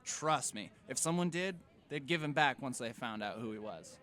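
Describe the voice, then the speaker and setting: A flippant teenage boy from an audio drama, played by a man. His medium-pitched voice is casual and sarcastic, always wisecracking. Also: animated, moderate pace, pushed and bright.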